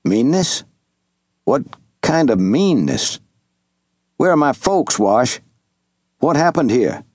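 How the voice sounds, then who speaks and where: A man's voice: medium pitch, big pitch swings, slow pace, a little mellow, slightly rough, dry recording, clean studio sound, exaggerated voice. A man voicing an anxious, grieving young man who asks urgent questions about his family.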